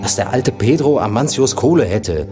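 An actor playing a wary, street-wise police informant in a German radio crime drama, evasive and colloquial. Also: male voice, mid-range voice, sing-song, normal speed, music in the background, close to an everyday voice. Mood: gossiping, dismissive.

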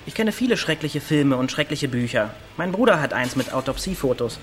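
A man's voice: mid-range voice, very animated, brisk, natural voice. A man acts a teenage village boy in a naturalistic radio drama. He sounds offhand and colloquial, with a casual, teasing temper. Faint music sits behind the voice.

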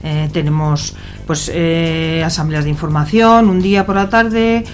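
A woman with an accent from Spain speaking as an activist guest in an informal radio interview, haltingly searching for words. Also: low-pitched, steady tone, slight background noise. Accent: Spanish from Spain.

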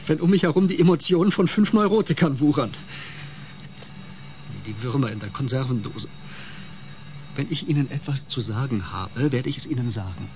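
A man's voice: medium pitch, lightly acted. An actor playing a hostile, misanthropic male empath in a sci-fi radio drama, with a sneering, insulting manner. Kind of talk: German radio drama acting.

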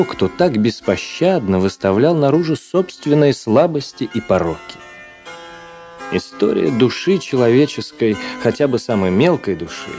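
A man acts a reflective literary gentleman in a radio play. His mid-pitched voice is mellow and swings expressively through measured phrases, with faint music underneath. There is a quiet irony in his delivery. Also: close to an everyday voice. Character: male narrator-editor publishing a dead officer's journal, reflective, ironic.

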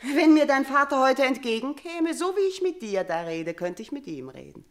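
A woman's voice: high voice, big pitch swings, normal speed. A woman playing a middle-aged queen and mother, haunted and domineering, in a theatrical classical German radio drama, shifting between murmurs and outcries. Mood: defensive, wistful. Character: haunted, imperious queen and mother, uneasy with guilt.

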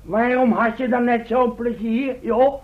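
An actor playing a cheeky, irreverent adventurer with a flippant, colloquial manner in a radio drama. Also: male voice, very high voice, steady tone, a little slow. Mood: mocking. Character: cheeky, irreverent male adventurer with mocking wit. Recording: noisy muffled old radio recording.

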